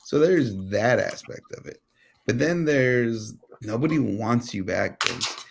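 An American man co-hosting a podcast, debating casually in a wry, halting way with some swearing. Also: mid-range voice, animated, creaky, husky. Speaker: podcast co-host.

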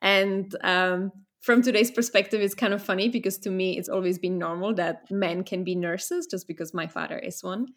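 A woman in her thirties, born in the Czech Republic, talking through her memories as a guest in a relaxed conversation. She tells her stories thoughtfully and sometimes restarts a phrase, with a voice of middling pitch. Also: normal speed, few pauses. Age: 30s. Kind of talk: casual interview conversation.

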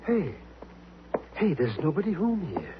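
A man playing a nervous, excitable comic informant in a 1950s radio drama, talking in eager, theatrical slang. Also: sits high, unhurried, background noise. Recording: muffled old radio broadcast.

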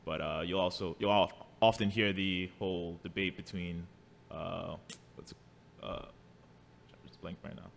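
A man's voice: deep voice, steady tone, measured pace, creaky, slight background noise. A man from New City, chatting casually as an interview guest in an easygoing, good-humored way. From New York City. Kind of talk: casual chat. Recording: long reverberant room echo.

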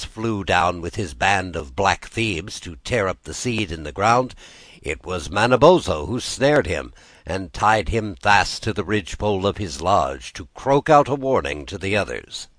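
Low-voiced American man reading with lively intonation. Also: a little slow, no echo. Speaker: volunteer audiobook reader. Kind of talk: scripted storybook narration.

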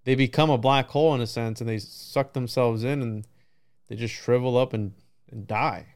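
A man's voice: medium pitch, fairly even intonation, normal speed. A man in a casual podcast conversation, musing in a rambling way and often checking in with "right" and "you know". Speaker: podcast host.

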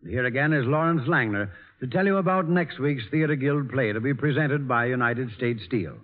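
A man announcing a 1940s radio program from a script in a formal, measured way. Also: mid-range voice. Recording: tinny old radio broadcast with music.

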